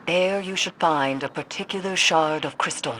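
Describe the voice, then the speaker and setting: A woman playing a grave, cryptic seer in a video game, speaking solemnly and deliberately with an ominous manner. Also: low-pitched, a bit bright, slight background noise, low-quality recording. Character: grave, cryptic female seer of dark magic. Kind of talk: video game character acting.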